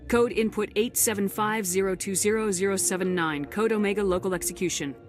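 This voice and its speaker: A woman's voice: medium pitch, brisk, soft background music, close to an everyday voice. A woman playing a firm, direct military commander in a video game, with a clipped, commanding delivery. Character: firm, no-nonsense human military commander heroine.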